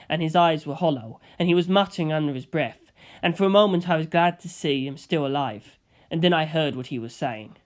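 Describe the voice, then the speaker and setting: Higher-pitched man narrating a story. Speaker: audiobook narrator. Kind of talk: scripted first-person story narration.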